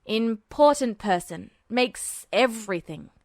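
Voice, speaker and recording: A woman voicing a weary, guarded teenage boy who speaks halting, terse English as a foreigner in a fan fiction reading. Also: fairly high pitch, lively intonation, dry recording, studio quality. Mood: halting, somber. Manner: halting, terse phrases in an unfamiliar language.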